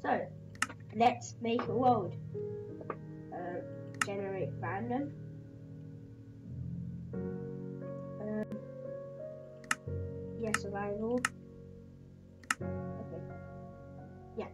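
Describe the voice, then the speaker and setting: A woman's voice: mid-range voice, drawn-out words, pauses often. A woman giving rambling casual commentary while playing a game at her computer desk at home, chatting to her viewers. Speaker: gaming video creator. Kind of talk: casual let's-play commentary. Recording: dull lo-fi sound with loud music behind.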